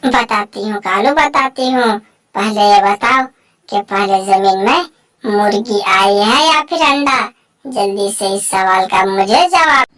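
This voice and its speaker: A woman's voice: medium pitch, takes it slowly, fairly bright tone. A woman voicing a fretful, scolding crow wife in a cartoon story, complaining and repeating herself. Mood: impatient. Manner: repetitive, complaining. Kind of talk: Hindi animated bird story dialogue.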